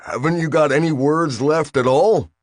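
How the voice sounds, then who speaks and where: A man's voice: on the high side, normal speed, no echo, clean studio sound, pitched above the performer's own voice, natural voice. A man voicing a bewildered young farm boy stuck in a cell, pleading and exasperated.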